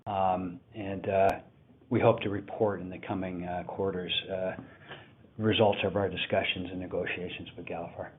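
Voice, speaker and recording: A male company executive giving halting, measured, unscripted answers on an investor call. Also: low voice, flat delivery, slight background noise. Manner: halting, measured, frequent pauses. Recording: tinny phone line.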